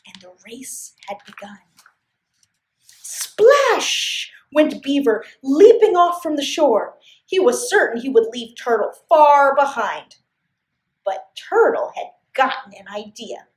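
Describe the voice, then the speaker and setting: A woman reading a story to young children in an expressive, sing-song way, often putting questions to her listeners. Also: sits high, slow pace, echoey room. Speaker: library story time host and reader.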